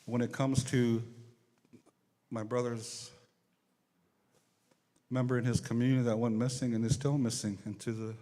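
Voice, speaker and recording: Guy giving a speech at an assembly, soft dark voice, repeats himself a bit, big echoey hall. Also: mid-range voice, little pitch movement, moderate pace, long pauses. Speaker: assembly speaker giving testimony. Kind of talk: unscripted public address. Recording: echoey large hall over PA.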